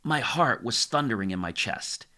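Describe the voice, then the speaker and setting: Animated male audiobook narrator. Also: mid-range voice, normal speed. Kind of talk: scripted first-person fiction narration, dramatic audiobook reading.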